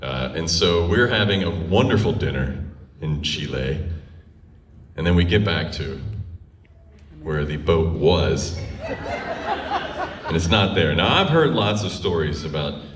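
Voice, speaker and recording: A low-pitched male storyteller speaks in a roomy, echoing space, picked up from some distance. He recounts a personal tale in a conversational, comic way. His telling is animated, with pauses for effect. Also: a little hiss or hum. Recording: roomy echo, distant microphone.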